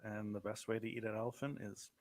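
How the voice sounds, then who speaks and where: Male advisor, fairly low pitch, casual panel conversation. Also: steady tone, fast talker, a little mellow.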